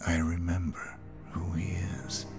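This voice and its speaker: An actor playing a cold, laconic professional assassin in a game cutscene, speaking in a clipped, deadpan way. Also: male voice, deep voice, measured pace, over music. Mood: cold, businesslike. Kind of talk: video game cutscene acting.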